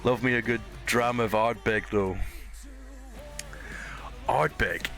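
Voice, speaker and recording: A man's voice: medium pitch, fairly even intonation, slow, fairly bright tone, soft background music. A Scottish man streaming a game, chatting casually, swearing and laughing easily. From Scotland. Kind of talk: casual gaming stream chat.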